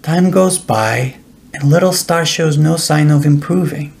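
A middle-aged man narrating a children's bedtime story in a deliberate, expressive storytelling way. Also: on the high side, creaky. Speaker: author reading own children's book.

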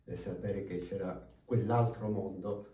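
Deep-voiced man speaking from far off. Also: fairly even intonation, measured pace, dark tone. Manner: reflective, thinking aloud. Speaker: friend of the artist. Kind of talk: casual chat. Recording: echoey room from far off, faint noise.

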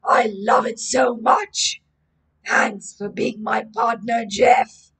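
Woman voicing a faint, drawn-out ghost. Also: on the high side, steady tone, a bit bright. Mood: haunting. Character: ghost of a young man, guitarist.

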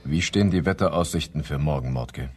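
An actor playing a businesslike, questioning police inspector in a German crime radio play. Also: male voice, extremely low pitch, fairly quick, a little mellow, soft background music.

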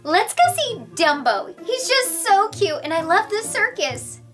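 Girlish cartoon princess, played by a woman, extremely high, swooping delivery, sweet and exclamatory. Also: sing-song, measured pace, a bit bright, faint music behind, full character voice. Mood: cheerful.

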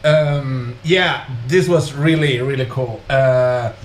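A man's voice: mid-range voice, takes it slowly. A man in his thirties giving casual, crude, amused reaction commentary, recorded in a small home room. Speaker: reaction video host. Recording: roomy echo, clear background noise.

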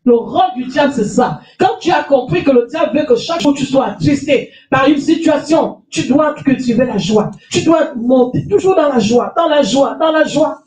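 A woman's voice: sits high, lively intonation, normal speed, hardly any pauses, darkish tone. A middle-aged woman pastor with a cold, preaching emphatically from a lectern with a handheld mic, repeating phrases and calling for amens. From France. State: has a cold. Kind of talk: sermon. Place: small church hall with stage and lectern. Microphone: handheld mic, far camera. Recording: echoey room, voice from a distance.

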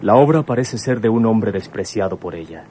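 Actor as a sober, low-voiced young man. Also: animated, normal speed, dark tone, lightly acted. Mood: explaining, defensive. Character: sober, courteous adult son of a police commissioner. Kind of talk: radionovela acting. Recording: faint vehicle noise behind.

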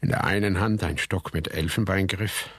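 A man's voice: sits low, fairly even intonation, normal speed, a bit bright, husky, no echo. An actor playing a reflective, disgraced heir who narrates his family saga in a radio drama. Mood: reflective, confessional.

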